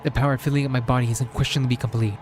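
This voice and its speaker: A man reads a visual novel aloud as dramatic first-person narration, measured and solemn, in short deliberate phrases. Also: medium pitch, fairly even intonation, noisy background. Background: background music.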